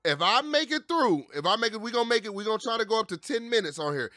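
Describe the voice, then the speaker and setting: A man in his thirties giving casual, slangy reaction commentary with animated banter and swearing, close on a studio mic. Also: high voice, quick pace, no echo. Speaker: reaction video host. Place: small home streaming room. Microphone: close studio mic on boom arm, headset.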